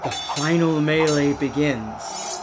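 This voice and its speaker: A woman giving dramatic, deliberate scripted narration for a radio play. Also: low voice, background noise.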